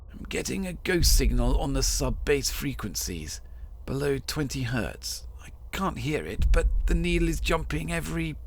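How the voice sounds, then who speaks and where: A man playing a scholarly, unnerved sound researcher dictating a field log in clipped, halting technical phrases for an audio drama. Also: a little high, a little hiss or hum. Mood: hushed, curious.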